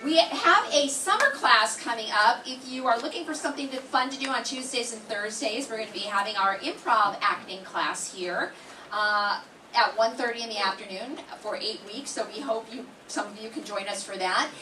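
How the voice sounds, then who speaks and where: A woman's voice: a little high, normal speed, sharp tone, distant mic. A woman teaching the class, giving chatty, joking welcome remarks to an audience. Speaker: class instructor. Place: college hall with live audience. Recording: echoey room from afar, crowd noise.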